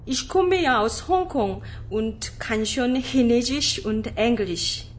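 A woman playing a gentle young female student from Hong Kong, speaking carefully and deliberately in a scripted language-course radio drama. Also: fairly high pitch, lively intonation. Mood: earnest.

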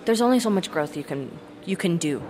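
A woman's voice: on the low side, animated, fairly quick, background noise. A woman working as a recruitment director, explaining her program thoughtfully in an interview and restarting as she searches for words.

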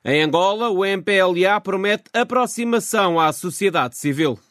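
A man's voice: high voice, moderate pace, fairly bright tone. A man presenting a scripted morning news programme on the radio. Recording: music bed under voice. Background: music.

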